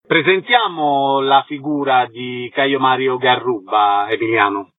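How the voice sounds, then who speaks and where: A man hosting an arts interview on the radio, announcing event details in clear announcer-like phrases. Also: medium pitch, unhurried, no room sound. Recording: muffled, distant radio sound.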